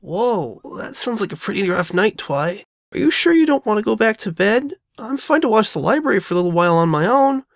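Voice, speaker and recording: Lady doing a kid dragon boy, caring and straight talking, audio drama, bouncy pitch, super dry. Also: sing-song, fairly quick, few pauses, no echo. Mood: soothing. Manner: plain-spoken, gently insistent. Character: caring young boy dragon, loyal, reassuring. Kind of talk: all-dialogue fan audio drama.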